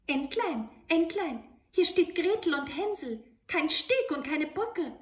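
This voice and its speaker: A woman's voice: sits high, sing-song, takes it slowly, roomy sound, pitched above the performer's own voice, full character voice. A woman voicing a plucky, cunning young girl in a fairy tale, earnest and pleading, given to exclaiming.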